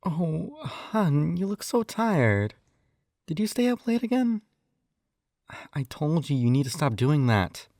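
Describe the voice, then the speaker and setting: A man's voice: fairly high pitch, very animated, slow pace, a little mellow, dry recording, studio quality. A man acting in an intimate scripted roleplay as a possessive, doting captor who coaxes in a slightly condescending way. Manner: coaxing, doting, slightly condescending, often sighing. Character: possessive, coaxing male yandere captor and hypnotist. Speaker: audio roleplay performer.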